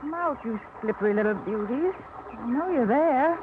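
Actress as a bright, lively single gal in a 1950s radio drama, high voice, dull old broadcast sound. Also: female voice, normal speed, natural voice. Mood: cheerful. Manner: bright, spirited, teasing. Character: Maggie, a lively single small-town woman. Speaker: lead actress. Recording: muffled old radio broadcast, faint hiss.

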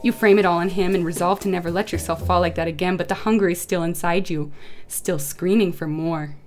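Woman playing a low-voiced earnest townswoman. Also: fairly even intonation, fairly quick, a little mellow, close to an everyday voice. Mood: grave, intense. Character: sweet polite townswoman with a morbid imagination.

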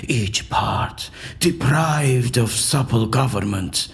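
An actor playing an eccentric, unflappable surgeon in an audio drama, with a formal, theatrical and dryly dismissive manner. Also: male voice, mid-range voice, slow, creaky. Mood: solemn, theatrical.

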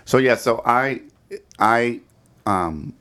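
A man's voice: mid-range voice, slow, a bit bright, clear, resonant tone. A middle-aged American man from Detroit hosting a conversational podcast discussion and asking questions. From Detroit, United States. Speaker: podcast host.

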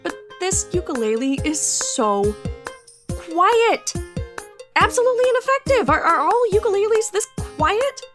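A woman acting as a petty, noise-hungry reviewer in an audio comedy. She complains theatrically, with very lively swings in pitch, over clear plucked music. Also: a little slow, heavily acted. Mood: aggrieved. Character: petty, noise-loving woman reviewer waging war on a neighbor. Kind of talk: scripted mock product review monologue.

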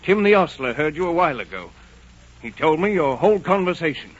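Stern, loving father, slightly high. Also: animated, fairly quick, breathy edge. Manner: earnest, paternal, firm. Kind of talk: 1940s radio drama acting. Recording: muffled, noisy 1940s radio recording.

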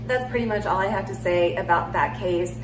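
A woman's voice: mid-range voice, a little fast, noisy background. A woman hosting a true crime show, telling it conversationally with casual, opinionated asides, a little under the weather and starting to lose her voice. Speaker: true crime podcast host. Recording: roomy echo, distant mic, music behind. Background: music.

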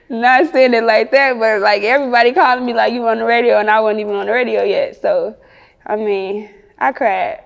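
A woman's voice: on the high side, creaky, big-room sound. A woman with a Chicago accent chatting casually in an interview as a rapper, with slangy, sweary talk. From Chicago, United States.

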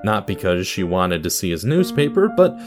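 A man's voice: low voice, very animated, normal speed, over music. A man reading scripted narration for an audio drama, telling a story in a dramatic style.

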